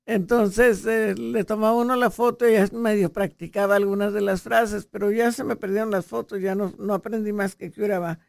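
Gravelly chatty woman host. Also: mid-range voice, steady tone, moderate pace, few pauses, a little mellow, no room sound, clean studio sound. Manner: chatty, playful, repeats greetings. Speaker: radio host. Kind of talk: casual radio greetings show.

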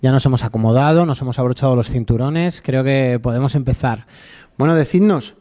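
A man's voice: medium pitch, fairly quick, mellow. A man from Spain hosting a radio travel show, jokey and laughing often as he chats with guests. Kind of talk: lively radio chat.

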